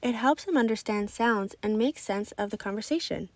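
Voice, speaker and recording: A woman voicing a kind, calming schoolteacher with a gentle, reassuring manner. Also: fairly high pitch, moderate pace, no echo, natural voice. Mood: kind, explaining.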